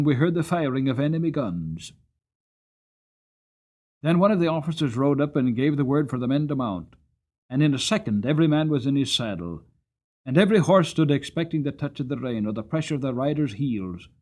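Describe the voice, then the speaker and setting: A man's voice: medium pitch, darkish tone, slightly rough. A man voicing an old cavalry horse in a storybook, proud and earnest, recounting war with dramatic delivery. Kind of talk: dramatic audiobook character reading.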